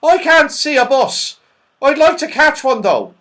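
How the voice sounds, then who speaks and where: A muddled, excitable comic little fellow from a children's storybook. His voice is pushed very high and swoops about as he yelps and calls. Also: a little slow, heavily acted. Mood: muddled, eager. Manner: shrill yelping and calling, words often misheard. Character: muddled, excitable, hard-of-hearing little saucepan man, comic.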